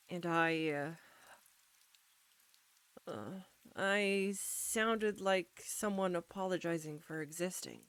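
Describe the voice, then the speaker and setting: Woman doing a shy flustered roommate girl for audio rp, soft lowish voice, really slow n halting, nervous. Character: embarrassed, anxious young woman confessing a secret to her roommate. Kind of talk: intimate audio roleplay acting, addressed to the listener.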